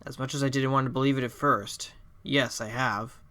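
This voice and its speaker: A man playing an earnest, confident fox defence lawyer in an audio drama, reasoning aloud deliberately. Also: mid-range voice, steady tone, heavy background noise, close to an everyday voice. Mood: confident, assertive. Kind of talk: audio drama courtroom acting. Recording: prominent music under the voice.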